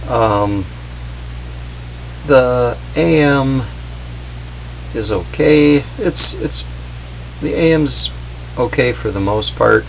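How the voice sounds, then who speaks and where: A man's voice: mid-range voice, very slow, vocal fry, long pauses, fairly bright tone. A man giving a casual, unscripted gadget review in a hesitant, meandering, noncommittal way. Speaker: product reviewer. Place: indoors in a basement, at a workbench. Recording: dull audio, faint background hum.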